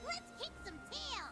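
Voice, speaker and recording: An eager, perky young heroine from a fantasy game. Her voice is extremely high and bright, bouncing through short battle calls with lots of pitch movement. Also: full character voice. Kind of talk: video game battle barks. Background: game music.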